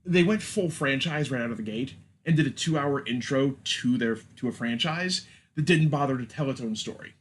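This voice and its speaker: A man's voice: medium pitch, normal speed. A man speaking casually as a podcast host, steering an informal discussion.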